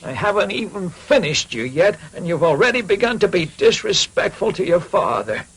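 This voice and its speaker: A man's voice: sits high, very animated, normal speed, a bit bright, husky, full character voice. An actor playing a kindly old woodcarver and father, muttering and exclaiming as he gets exasperated, in a cartoon dub. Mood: indignant. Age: older. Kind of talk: animated cartoon dub acting. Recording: music behind the voice.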